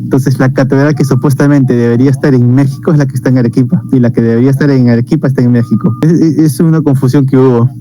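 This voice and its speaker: A man with a mellow, warm voice. He speaks thoughtfully and likes to explain cultural curiosities in casual conversation. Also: mid-range voice, steady tone, quick pace, hardly any pauses, faint music behind, rough recording. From Peru. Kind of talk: casual video chat.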